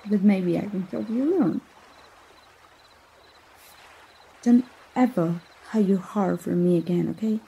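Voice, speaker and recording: A woman playing a tender, lovestruck young princess in a romantic ASMR roleplay, speaking softly, haltingly and with feeling. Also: mid-range voice, vocal fry, a little hiss or hum, lightly acted. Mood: affectionate, teasing. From Mexico. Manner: halting, emotive, with gasps and sighs. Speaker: voice actor.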